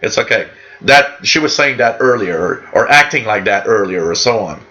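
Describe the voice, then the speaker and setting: A man's voice: on the low side, animated, normal speed, sharp tone, highs cut off. A man whose first language is French hosts a live radio hobby show, chatting casually with viewers in a rambling, friendly way full of fillers and restarts. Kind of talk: casual live-stream chat reading out viewer comments.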